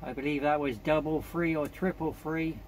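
Higher-pitched man on CB radio. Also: steady tone, moderate pace, background noise. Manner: polite, procedural, repeats call signs. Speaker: amateur CB radio operator. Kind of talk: radio contact chat with call signs.

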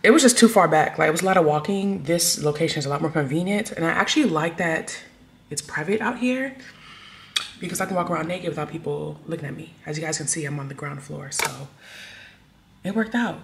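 A woman's voice: sits low, fairly quick, vocal fry, slight background noise. Chatty woman vlogging in an echoey hotel room. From Haitian heritage. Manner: animated, loud bursts of laughter, slangy talk to viewers. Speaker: travel vlogger. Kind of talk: casual chatty solo travel vlog. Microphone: camera on tripod, some handheld selfie shots.

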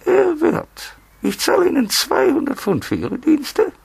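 An actor playing a cold, menacing blackmail mastermind in a radio crime play, with a husky disguised voice and clipped commands. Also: male voice, very high voice, wildly swooping pitch, unhurried, exaggerated voice. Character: menacing anonymous blackmail mastermind giving orders.

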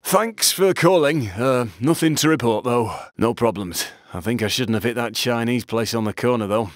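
An actor playing a foul-mouthed, cocky, battle-hardened British criminal in a video game, with a brash, punchy delivery. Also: male voice, mid-range voice, moderate pace, no echo, clean studio sound, close to an everyday voice. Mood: dry, offhand. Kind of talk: video game voice lines, barked callouts.

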